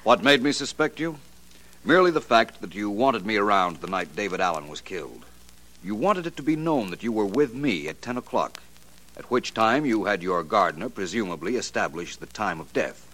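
A suave, cerebral detective in an old-time radio mystery. He lays out his reasoning calmly in a fairly low voice, yet his pitch moves a lot as he talks. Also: exaggerated voice, rough recording. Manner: measured, explanatory. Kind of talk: 1940s radio acting.

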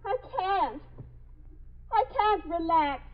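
Very high-voiced, fretful young woman. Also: lively intonation, slow pace, full character voice. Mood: close to tears. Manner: fretful, plaintive, insistent. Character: anxious, impatient young woman stuck, near tears. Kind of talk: 1950s radio drama acting. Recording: dull 1950s radio broadcast recording.

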